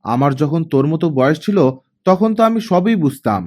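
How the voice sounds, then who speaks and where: A man's voice: a little high, big pitch swings, fairly quick, a little mellow. A man voicing a stern, guarded young woman, an elder sister with a curt, admonishing manner, in an audiobook story. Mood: cautioning, serious.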